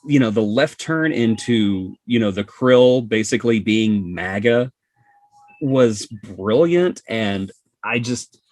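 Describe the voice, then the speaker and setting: A man chatting casually as a podcast guest, giving opinions with emphatic, expressive phrasing. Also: fairly low pitch, no room sound. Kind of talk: casual review chat.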